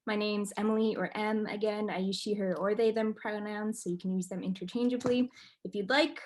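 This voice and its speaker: A woman's voice: medium pitch, steady tone, a little fast, darkish tone, slight background noise. Woman, video call, casual group host, friendly, hesitant, lots of ums. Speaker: workshop facilitator. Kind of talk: casual online group check-in.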